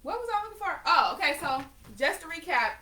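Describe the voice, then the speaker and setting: A woman's voice: extremely high pitch, sing-song, a little fast, pushed and bright. A woman of nineteen talking casually to her viewers, rambling and correcting herself as she goes. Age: late teens. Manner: rambling, self-correcting, conversational. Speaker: vlogger and painter. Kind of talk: casual chat to camera.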